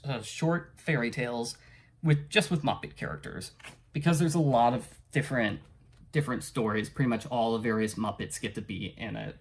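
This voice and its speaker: Hesitant, rambling casual book reviewer. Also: medium pitch. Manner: rambling and hesitant, often trailing off and chuckling.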